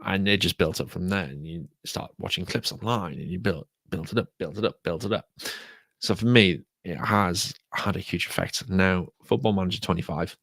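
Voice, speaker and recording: A British man hosting a solo podcast, talking casually and repeating himself, croaky from a sore throat. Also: sits low, moderate pace, fairly bright tone, no echo. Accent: British English. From United Kingdom. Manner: rambling, repeats words. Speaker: podcast host.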